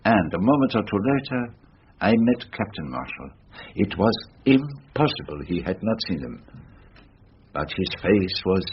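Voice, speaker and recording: An actor playing a shrewd, fussy gentleman detective with a French-speaking European accent, phrasing things precisely and theatrically in a radio drama. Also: low-pitched. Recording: dull radio recording with faint noise.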